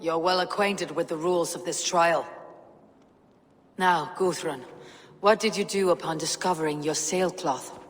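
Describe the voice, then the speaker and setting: An actress playing a steady Norse warrior who leads a settlement, speaking tersely and with authority. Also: female voice, on the low side, unhurried. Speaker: Viking warrior settlement leader. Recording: long hall reverb, faint noise.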